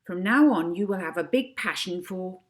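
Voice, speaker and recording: A woman's voice: fairly low pitch, lively intonation, normal speed, close to an everyday voice. A woman voicing a bold, cheerful young girl who gives orders in a storybook reading. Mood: confident, improvising.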